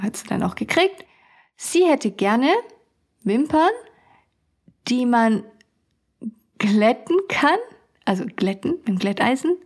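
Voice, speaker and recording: A woman's voice: fairly high pitch, a little mellow. A young adult woman telling funny stories as a podcast host, chatty and often laughing. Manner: chatty, laughs often, acts out customers' voices. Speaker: podcast host, beauty studio owner. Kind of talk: casual storytelling podcast.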